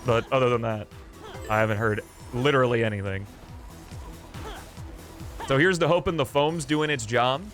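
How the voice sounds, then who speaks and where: A young man chatting casually on a live stream, loud and rambling with lots of fillers and jokes, in a small foam-treated room. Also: mid-range voice, moderate pace, pauses often, faint music behind.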